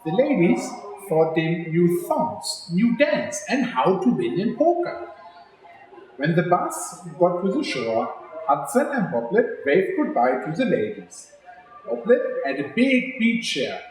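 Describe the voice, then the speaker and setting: Guy reading a kids story, high-ish voice, really echoey room w/ bit of hiss. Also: takes it slowly. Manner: expressive, addresses children directly. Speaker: executive chef reading a children's story for a charity. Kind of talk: read-aloud storytelling for children. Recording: very echoey room, faint background noise.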